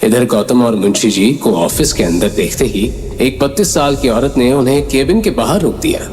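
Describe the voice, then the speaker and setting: A man's voice: mid-range voice, normal speed, a little mellow, a little hiss or hum. A man narrating a scripted audio drama story in a dramatic storytelling style.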